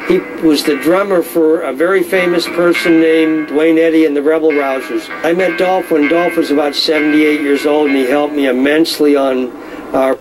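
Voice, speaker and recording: A middle-aged man who deals in violins, speaking in a measured, knowledgeable way with frequent fillers. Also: on the high side, rough recording. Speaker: violin dealer. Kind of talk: casual explanatory talk.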